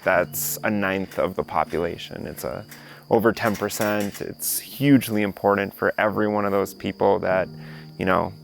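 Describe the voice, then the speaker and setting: A man from Honduras, speaking calmly and reflectively in a documentary interview. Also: low-pitched, moderate pace, vocal fry. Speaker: coffee industry interviewee.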